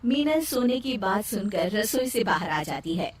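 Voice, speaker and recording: A woman's voice: mid-range voice, big pitch swings, measured pace, far from the mic. A woman narrating a scripted storybook tale.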